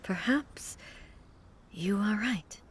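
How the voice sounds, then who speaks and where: An actress playing a dignified, reserved female ruler in a video game, speaking in a measured, formal way. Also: mid-range voice, animated, background noise. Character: composed, dignified female ruler, gently reassuring.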